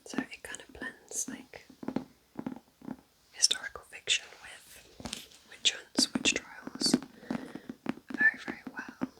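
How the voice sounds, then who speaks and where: A woman whispering breathily and gently in an unhurried, chatty ASMR style, as a kind witch recommending books. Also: low-quality recording. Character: kind witch welcoming a visitor to her cabin. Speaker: ASMR roleplay host.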